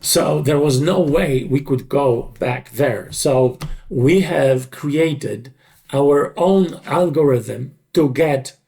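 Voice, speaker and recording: A middle-aged or older man speaking reflectively in an interview, in deliberate, halting, emphatic short phrases. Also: a little high, gravelly, mellow. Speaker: dubbing creative director.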